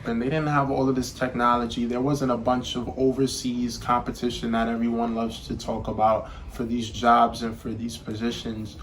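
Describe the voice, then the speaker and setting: A man's voice: medium pitch, normal speed, hardly any pauses, slight background noise, rough recording. Earnest man in his twenties, podcast co-host. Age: 20s. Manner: earnest, reflective, steady. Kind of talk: casual conversational podcast.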